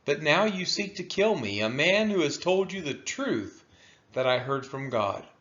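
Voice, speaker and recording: A man reading scripture aloud in a solemn style, with deliberate, emphatic phrasing and a dull, lo-fi sound. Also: fairly high pitch, sing-song, fairly bright tone. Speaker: scripture reader.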